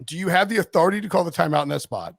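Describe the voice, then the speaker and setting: Man, slightly high pitch, sports host, casual joking chat, halting excitable delivery, dry sound. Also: gravelly, dry recording.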